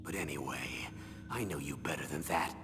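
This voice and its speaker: An actor playing an aging retired army colonel in a video game, firm but fatherly, earnest and measured in his persuasion. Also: a bass voice. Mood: persuasive, earnest. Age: older. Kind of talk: dramatic video game voice acting. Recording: hollow reverberant audio with clear background noise.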